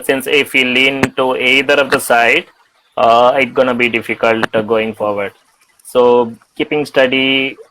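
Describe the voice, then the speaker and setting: A man, a working father, speaking as a panel guest, halting with frequent pauses and restarts. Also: medium pitch, a bit bright, low-quality recording. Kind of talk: casual panel talk.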